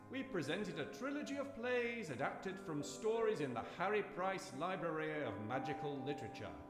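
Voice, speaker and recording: Male announcer: formal scripted sign-off, expressive pitch swings, music behind, echoey live venue. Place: live performance hall.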